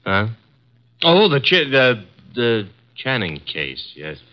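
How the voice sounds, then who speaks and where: An actor playing a breezy, brisk male assistant detective in a 1950s radio drama. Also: medium pitch, huge pitch swings. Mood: briefing. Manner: breezy, brisk, matter-of-fact. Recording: dull old radio broadcast.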